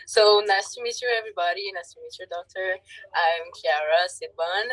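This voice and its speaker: A woman's voice: lowish voice, moderate pace, a bit bright, rough recording. A nineteen-year-old woman from France, speaking casually as a guest on a chat show.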